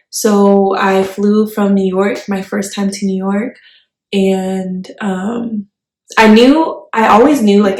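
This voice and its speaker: A woman's voice: mid-range voice, darkish tone. Young American woman chatting in an echoey room. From Texas, United States. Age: 20s. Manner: chatty, conversational, sprinkled with slangy interjections. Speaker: YouTube vlogger. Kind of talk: casual personal storytelling to viewers. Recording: echoey room, faint background noise.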